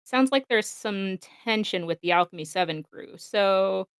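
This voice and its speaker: A woman as a clear, cheeky robot soldier. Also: medium pitch, a little slow, no echo, clean studio sound, natural voice. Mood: breezy. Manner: teasing, informal. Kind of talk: amateur comic dub, casual dialogue.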